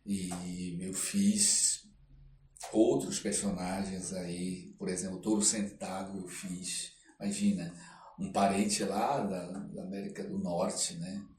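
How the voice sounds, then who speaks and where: An older man with a Brazilian accent, telling personal anecdotes as an interview guest in a halting, reflective way. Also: deep voice, little pitch movement, a little mellow. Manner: halting, reflective, frequent tag questions. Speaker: interview guest, actor and storyteller. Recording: roomy echo, clear background noise.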